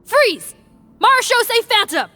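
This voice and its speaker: A woman's voice: very high voice, big pitch swings, takes it slowly, sharp tone, faint music behind, heavily acted. An actress playing a spirited young policewoman in a video game, punchy, confident and commanding. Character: spirited, brash young female police officer.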